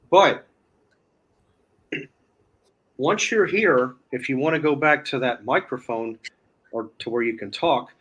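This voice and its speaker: A man's voice: mid-range voice, a bit bright. A man explaining an app as a guest in casual chat, rambling and repeating himself. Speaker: guest demonstrating a phone app.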